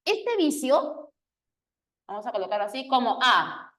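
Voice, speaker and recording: A woman's voice: on the high side, very animated, a little slow, fairly bright tone. A woman teacher giving an online exam-prep grammar class, explaining step by step and checking in with her students as she goes.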